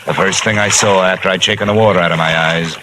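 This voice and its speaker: A man's voice: low-pitched, fairly even intonation, quick pace, heavy background noise, natural voice. An actor playing a hard-boiled male insurance investigator narrating a 1950s radio drama in clipped sentences. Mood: terse. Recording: old radio transcription with music.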